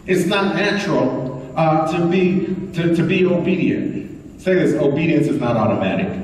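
A man's voice: on the high side, moderate pace. Preaching man in an echoey hall. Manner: lively preaching with repetition and audience prompts. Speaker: pastor. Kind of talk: sermon. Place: large bright church hall indoors. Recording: echoey hall recorded from far off.

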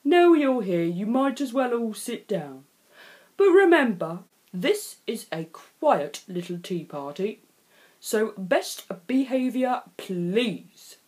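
Woman voicing fussy daddy lion, storybook, animated, slow, weary, disapproving. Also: a little high. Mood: resigned, firm. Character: reluctant fussy father lion wanting quiet.

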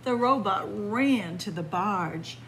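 A woman reads a picture book aloud to young children as a library storyteller. Her pitch is animated and rises and falls expressively. She sits in a library room, with clear background noise in the recording. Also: slow pace. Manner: expressive, addressing young listeners as friends. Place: indoors, library room with bookshelves.

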